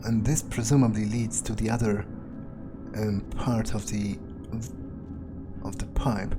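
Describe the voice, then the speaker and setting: A man giving in-character gameplay commentary, thinking aloud in a musing, hesitant way with pauses mid-phrase. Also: fairly low pitch, fairly even intonation. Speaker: gamer doing an in-character playthrough. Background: game music.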